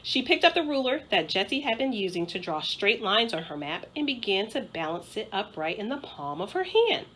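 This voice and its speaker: Animated woman reading a children's story. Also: medium pitch, moderate pace, hardly any pauses, rough recording. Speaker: school principal reading aloud. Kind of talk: expressive children's storybook narration.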